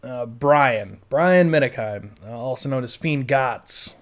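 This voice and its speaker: A man's voice: medium pitch, slow. An American man in his thirties from western New York, telling his life story in a casual, unscripted audio blog with a rambling, blunt, informal way of talking. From western New York State, USA. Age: 30s.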